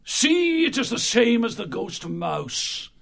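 A gravelly, shrieking raven general. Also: male voice, extremely high pitch, very animated, slow, pitched above the performer's own voice, full character voice. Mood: contemptuous. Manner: blustering, contemptuous, shouting challenges. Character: boastful bullying male raven general. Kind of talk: audiobook character voice.

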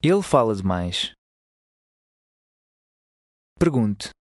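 A man's voice: lowish voice, sing-song, extremely slow, no room sound, clean studio sound. A man with a European Portuguese accent, carefully repeating model phrases in a language course. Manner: clear, careful repetition of phrases.

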